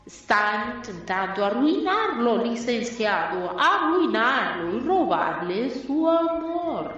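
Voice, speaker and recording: A woman's voice: a little high, very animated, a bit bright, lo-fi sound, full character voice. A woman playing a mystical fortune-teller with solemn, dramatic delivery in a parody radio soap. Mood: solemn, prophetic. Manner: slow, portentous, dramatic delivery. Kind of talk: absurd parody radio soap opera acting. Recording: heavily echoing, distant, music behind.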